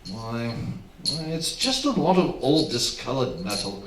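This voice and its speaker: A man plays a fretful, well-bred master of a country house with upper-class phrasing. His radio-play delivery is exclamatory and swings widely in pitch, picked up from a little way off in a roomy space. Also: very animated, a little slow. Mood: excited, baffled. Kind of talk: theatrical radio drama acting. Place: theatre stage, live performance. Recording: roomy, distant stage miking, faint hiss.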